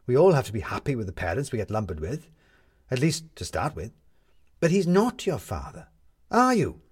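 A man's voice: mid-range voice, big pitch swings, moderate pace, husky, voice pushed up. A man voicing a commanding, wry woman reporter in a story, articulate and sardonic as she lectures. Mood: cutting.

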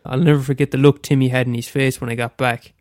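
A man's voice: medium pitch, flat delivery, fast, darkish tone, no room sound, studio quality. Irish man reading his story, slightly stuffy. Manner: casual, hesitant with fillers in the intro. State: head cold. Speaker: amateur storyteller. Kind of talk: reading his own short story aloud.

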